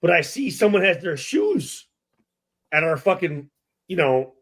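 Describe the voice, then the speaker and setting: A middle-aged man telling a casual story with heavy swearing, halting and repeating himself as he acts out exchanges. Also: fairly high pitch, very animated, a bit bright, slightly rough. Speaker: podcast guest telling a story.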